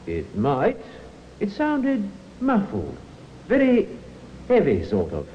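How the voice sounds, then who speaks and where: Earnest male steward witness, respectful, extremely animated higher voice, hissy vintage radio drama. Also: slow pace, full character voice. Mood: careful. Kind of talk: 1950s radio drama acting. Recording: muffled, hissy old radio recording.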